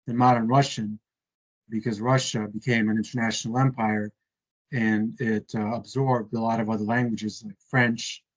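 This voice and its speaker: A man's voice: mid-range voice, poor audio. A man casually chatting on a video call, thinking aloud with many fillers and hedges. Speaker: conversation partner.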